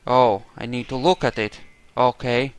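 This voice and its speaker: Slow-talking man musing over a game. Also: medium pitch, fairly even intonation, clear, resonant tone. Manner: hesitant, musing, thinks aloud in character's perspective. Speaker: gaming video host. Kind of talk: casual roleplay playthrough commentary.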